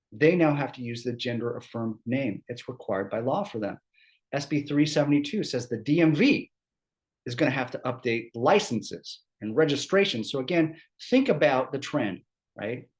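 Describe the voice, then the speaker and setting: A man presents employment law at a seminar, his throat scratchy from a cold. He keeps it conversational, with quick asides and frequent "right?" check-ins to the room. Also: medium pitch, animated, darkish tone.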